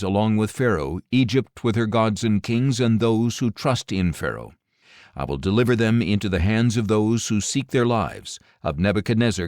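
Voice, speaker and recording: Low-voiced man solemnly reading scripture. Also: a little mellow, no room sound, clean studio sound. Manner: solemn, measured delivery. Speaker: audiobook narrator.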